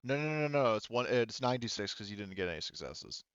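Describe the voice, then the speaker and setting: A man's voice: on the low side, expressive, fast, smooth, no room sound, dull audio. A man casually running a tabletop roleplaying game as game master, explaining rules informally and thinking aloud.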